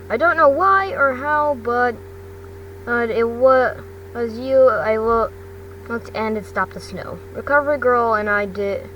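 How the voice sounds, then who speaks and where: Female reader as male schoolteacher, storybook dialogue, exasperated but caring, halting, distant noisy recording. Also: a little high, fairly bright tone. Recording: distant mic, noisy background hum.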